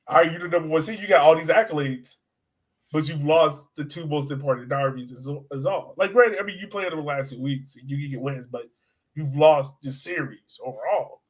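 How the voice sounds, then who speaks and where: Male podcast host, fairly high register, loose conversational delivery, question-driven casual sports talk. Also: a little fast, breathy edge.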